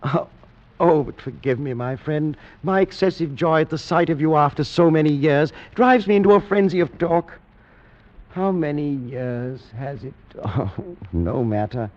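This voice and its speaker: A man acts out a frail, haunted nobleman with frayed nerves, his fairly high voice theatrical and halting. It is played as vintage radio horror, on a muffled old recording. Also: a little mellow, close to an everyday voice. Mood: apologetic, weary. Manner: theatrical, halting, swinging between weary and frenzied.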